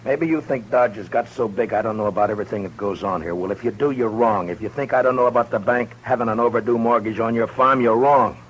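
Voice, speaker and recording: An actor playing a stern, hard-bitten Old West marshal, commanding and blunt, in a 1950s radio drama. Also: male voice, medium pitch, fast, few pauses, close to an everyday voice. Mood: stern warning. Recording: noisy old radio broadcast recording.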